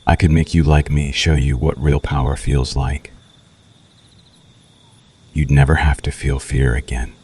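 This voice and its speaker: A man playing a seductive, menacing male vampire in an ASMR roleplay, speaking deliberately and broodingly with teasing, dramatic pauses. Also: extremely low pitch, level tone, dark tone, heavily acted. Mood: ominous, tempting. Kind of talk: slow ASMR roleplay monologue.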